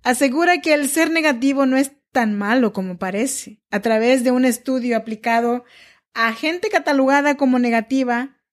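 Brief: female podcast host, slightly high voice, intimate and personal, talks one-to-one with the listener. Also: moderate pace. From Mexico. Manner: warm, confiding, talks directly to the listener.

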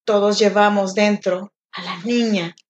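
Mexican woman hosting her podcast, gentle encouraging talk, mid voice. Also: medium pitch, steady tone, a little slow, slight background noise. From Oaxaca, Mexico. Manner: gentle, encouraging, speaks directly to the listener. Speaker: podcast host. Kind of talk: solo podcast monologue. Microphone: home podcast mic.

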